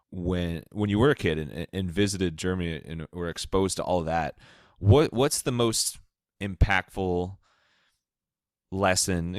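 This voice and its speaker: A man's voice: sits low, dry recording, clean studio sound. An American man hosting a podcast, chatting casually and effusively with upbeat, wordy interview questions. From Ohio, United States. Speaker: podcast host.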